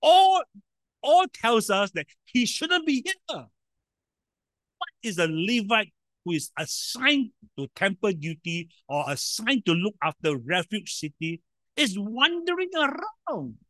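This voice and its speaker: A man preaching a sermon in a loud voice, emphatic, with sudden swings in volume and frequent rhetorical questions. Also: sits high, big pitch swings, slow pace, no room sound.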